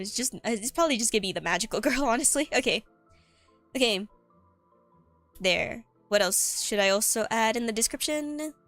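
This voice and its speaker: A woman livestreamer chatting casually and writing aloud, haltingly repeating words as she goes, her voice a little cracky. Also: on the high side, a bit bright, no echo. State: voice a bit cracky. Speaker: streamer and writer. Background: background music.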